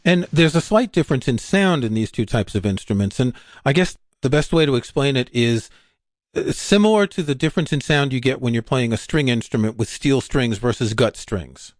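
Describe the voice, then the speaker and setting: A man's voice: medium pitch, animated, moderate pace, no room sound, studio quality. A man hosting a podcast, asking curious, conversational questions and sharing his own experiences. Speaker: podcast host. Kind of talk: interview questions.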